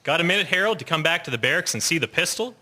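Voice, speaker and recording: A man's voice: fairly high pitch, lively intonation, racing, bright, forceful tone, exaggerated voice. A young man playing an eager airman in a historical audio drama. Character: eager young airman, proud gun owner, later alarmed.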